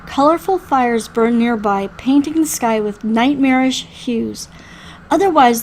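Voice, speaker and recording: High-voiced woman reading a story dramatically. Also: slight background noise, low-quality recording. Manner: expressive, acts out the dialogue. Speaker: reader playing through a visual novel.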